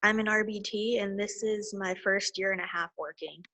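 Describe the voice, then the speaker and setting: A woman's voice: medium pitch, steady tone, normal speed, sharp tone. Woman reading a prepared presentation. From Florida. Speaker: behavior technician.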